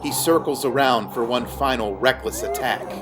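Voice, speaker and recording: A man reading scripted fantasy narration in a measured storytelling manner. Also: mid-range voice.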